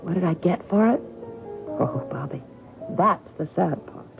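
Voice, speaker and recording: A woman's voice: lowish voice, expressive, measured pace, vocal fry. An actress playing a despairing, worn-out female tennis champion, sardonic and bitter, in a radio drama. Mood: weary despair. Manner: sardonic, weary, dramatic swings into anguish. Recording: tinny phone-like old radio broadcast, music behind.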